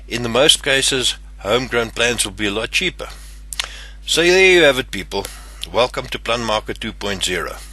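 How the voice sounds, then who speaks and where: A man's voice: medium pitch, pushed and bright, husky. An older man from South Africa with a South African English accent, hosting his own channel in a scripted, earnest and somewhat stiff delivery. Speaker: channel host. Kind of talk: scripted talk to camera.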